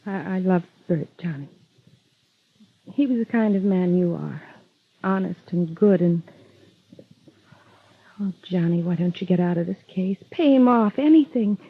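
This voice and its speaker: Worried lovesick girl, soft n breathy, thin phone-like old radio sound. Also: lowish voice, lively intonation, unhurried, long pauses, full character voice. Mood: pleading. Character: anxious, pleading, lonely young woman in love. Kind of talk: 1940s-50s radio melodrama acting.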